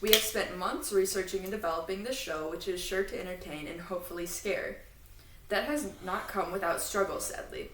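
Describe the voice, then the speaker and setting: A woman's voice: medium pitch, brisk. A woman co-hosting a casual podcast, explaining things in an informal, fannish way with trailing asides. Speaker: podcast co-host. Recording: echoey room, distant mic, faint noise.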